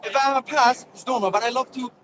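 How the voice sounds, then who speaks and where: A young man from Iraqi Kurdistan with a Kurdish accent, chatting casually and often restarting his phrases. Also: very high voice. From Kurdistan region of northern Iraq. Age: young adult. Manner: halting, repetitive phrase restarts. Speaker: passer-by student being interviewed. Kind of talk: casual chat. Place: outdoors on a motorway bridge. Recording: loud outdoor traffic noise. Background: passing vehicles.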